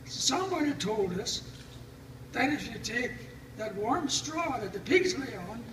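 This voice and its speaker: An older man telling family stories informally, in a folksy, halting, reminiscing way. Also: very high-pitched, animated. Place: family gathering, recorded from a distance. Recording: roomy, distant mic, loud background noise.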